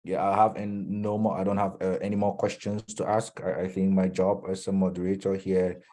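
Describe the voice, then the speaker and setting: A man's voice: deep voice, level tone, brisk, dark tone. A man from Cameroon, moderating an online Q&A in a hesitant way full of fillers and restarts. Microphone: video call.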